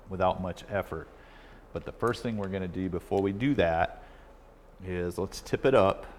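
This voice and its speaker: A middle-aged man with a low-pitched voice talks through a hands-on repair. He explains each step casually and in plain words. The recording is made at an indoor workbench, with faint noise behind him. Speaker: repair video host. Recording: clearly noisy workshop recording.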